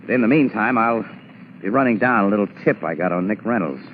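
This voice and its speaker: A muffled, faintly hissy 1940s radio broadcast carries an actor as a savvy male crime photographer. His voice is low, and he talks in casual, steady, wisecracking big-city slang. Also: fairly quick, natural voice. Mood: reassuring, thoughtful. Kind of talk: 1940s radio drama acting.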